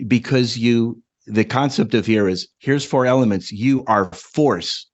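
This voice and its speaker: A man with a medium-pitched voice, telling stories and chatting as a podcast co-host. The recording is a little dull. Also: measured pace. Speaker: podcast co-host and story author. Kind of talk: dramatic story narration and casual chat.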